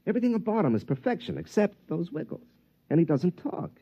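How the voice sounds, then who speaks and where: An American actor playing a proud, determined, suspicious championship golfer in an old-time radio drama, with an intense, musing delivery. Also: male voice, sits high, sing-song, fast, exaggerated voice. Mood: puzzled, intent. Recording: dull vintage radio recording.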